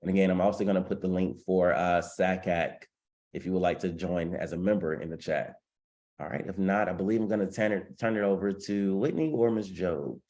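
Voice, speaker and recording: Deep-voiced congested guy running a webinar over a video call, says um a lot. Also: a little fast, a little mellow. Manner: frequent ums, promotional. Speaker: webinar host.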